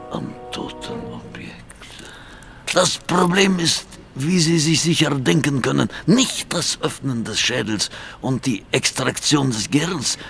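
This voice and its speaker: An actor playing a grandiose, obsessive mad surgeon in an audio drama, halting and theatrical, menacing and fond of repeating his own phrases. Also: mid-range voice, creaky, fairly bright tone, exaggerated voice. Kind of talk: German audio drama acting.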